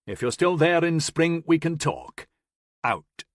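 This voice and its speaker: A man's voice: sits high, a little fast, a bit bright, no room sound, studio quality, pitched above the performer's own voice. A man voicing a curt, commanding man giving firm, clipped orders over a radio.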